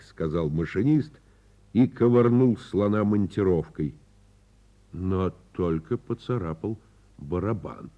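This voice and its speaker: A man reading a literary fairy tale as a radio theatre narrator, with measured, dramatic phrasing. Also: low voice, warm tone, dull audio. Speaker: actor reading a story.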